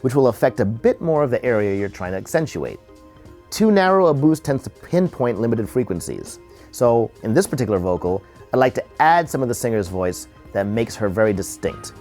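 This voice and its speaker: A man narrates an instructional tutorial as its presenter, explaining things step by step. His mid-pitched voice moves with lively intonation. Faint music sits behind him. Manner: explanatory, measured step-by-step delivery.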